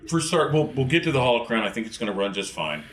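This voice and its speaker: A man's voice: mid-range voice, very animated, quick pace, a little hiss or hum. A man playing a tabletop role-playing game, improvising casually in character.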